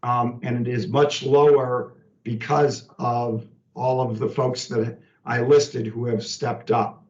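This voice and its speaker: A man making staff announcements on a work conference call in a halting, deliberate way. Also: medium pitch, level tone. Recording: echoey, distant, dull conference call.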